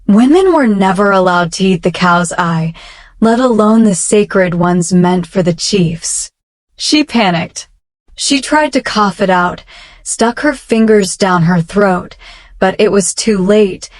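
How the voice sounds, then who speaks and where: Slow-paced woman storyteller. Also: mid-range voice, no echo. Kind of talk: scripted children's bedtime story narration.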